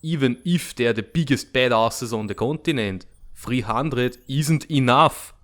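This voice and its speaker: A man's voice: mid-range voice, measured pace, natural voice. A man playing a pragmatic, impatient military strategist with a blunt, matter-of-fact manner in a comic fandub. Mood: decisive. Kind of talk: dramatic comic fandub acting.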